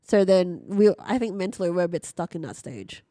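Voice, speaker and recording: A woman's voice: lowish voice, expressive, brisk, mellow, no room sound, studio quality. A woman in her early thirties co-hosting a podcast with casual, friendly banter. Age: early 30s. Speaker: podcast co-host.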